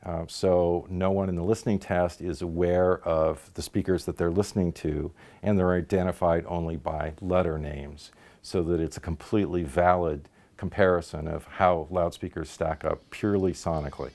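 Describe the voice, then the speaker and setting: A man's voice: low voice, steady tone, normal speed, darkish tone. A man acting as company spokesman, giving a polished promotional explanation of a product.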